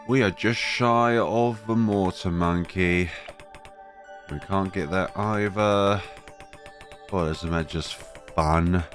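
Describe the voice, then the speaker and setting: A man with a cold narrating gameplay in a casual, wry way, talking to his game units as he plays. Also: low-pitched. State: has a cold, voice deeper than usual. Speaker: game guide creator.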